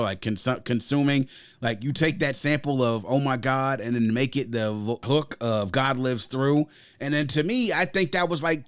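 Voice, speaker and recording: A man's voice: mid-range voice, no room sound. Enthusiastic man reviewing an album. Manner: enthusiastic, rambling with fillers. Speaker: podcast co-host. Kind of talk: casual album review chat.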